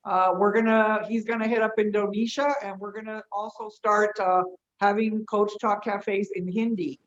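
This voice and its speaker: A woman informally hosting an online group meeting, speaking in an effusive, encouraging way. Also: mid-range voice. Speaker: host of an online coaching community meetup. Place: online group video call. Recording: dull, lo-fi online call audio.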